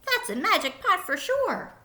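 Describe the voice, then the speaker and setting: A woman voicing an eager, foolish and boastful young village man in a storybook, excitable and declaiming. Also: very high voice, unhurried, echoey room, voice pushed up, exaggerated voice. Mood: amazed.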